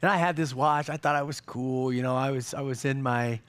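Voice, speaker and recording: A middle-aged man preaching a sermon on a church stage, animated, with many repeated words and restarts. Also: medium pitch, fairly quick. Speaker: pastor.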